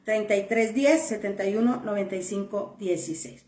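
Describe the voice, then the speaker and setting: A woman's voice: medium pitch, a little fast, darkish tone, recorded from a distance. A woman giving blunt immigration advice straight to her viewers, answering their questions in a firm, repetitive way. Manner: firm, blunt and repetitive, stressing points plainly. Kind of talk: direct-to-camera advice video. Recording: roomy echo.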